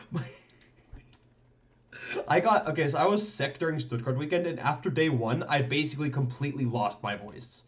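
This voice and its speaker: A man's voice: on the high side, animated, normal speed, pauses often. A man live-streaming his commentary on tournament match footage, rambling and thinking aloud, often tagging his remarks with "right?". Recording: dull lo-fi stream audio.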